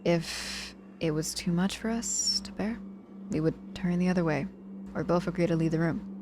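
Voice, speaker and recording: Low-voiced, guarded wounded witch played by a woman. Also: noisy background, lightly acted. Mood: quiet, confiding. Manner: halting, sighing, deflecting, grudgingly tender. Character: proud, guarded young witch, hurt in hospital, softening. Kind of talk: ASMR audio roleplay, intimate one-sided dialogue.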